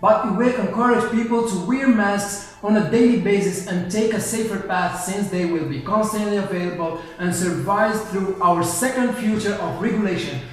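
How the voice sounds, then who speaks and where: A young man, earnestly reading a prepared pitch as a student web developer. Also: normal speed, hardly any pauses. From Algeria. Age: 20s. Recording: very echoey room with faint music.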